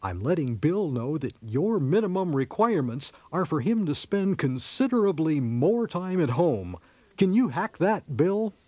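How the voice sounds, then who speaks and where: Lively man acting a earnest marriage counselor. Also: on the high side, unhurried, no echo. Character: soothing male marriage counselor who translates jargon. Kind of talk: radio sketch comedy acting.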